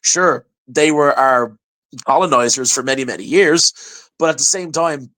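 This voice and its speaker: Chatty Irish man, higher voice. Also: unhurried, poor audio. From Ireland. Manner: chatty, animated storytelling with repeated restarts. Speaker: podcast guest. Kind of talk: casual chat.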